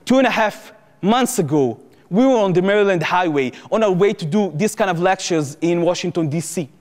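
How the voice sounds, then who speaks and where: A young man with an Israeli accent giving a public lecture as personal storytelling, emphatic, repeating phrases and pausing for drama. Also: high voice, animated. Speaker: activist lecturer and former soldier. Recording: echoey hall with long reverb.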